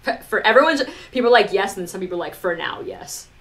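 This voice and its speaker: A woman's voice: medium pitch, animated, fast, a bit bright. A woman live streamer talking casually to her viewers, rambling informally with lots of "like" and some mild swearing. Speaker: live streamer, music producer.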